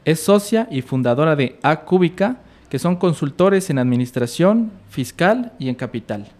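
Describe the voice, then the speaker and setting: A man, hosting a podcast and reading a guest introduction in a measured, formal way. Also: on the high side, lively intonation, darkish tone. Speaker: podcast host.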